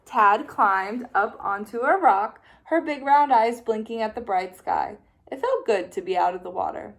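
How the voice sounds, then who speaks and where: A woman teacher reading a children's picture book aloud with expressive, playful storytelling. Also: fairly high pitch, measured pace, creaky.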